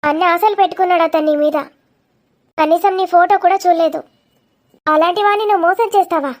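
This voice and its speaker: Woman acting as young cartoon woman, very high voice, feisty, sarcastic, confrontational, dramatic comedy delivery. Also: steady tone, quick pace. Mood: mocking, scolding.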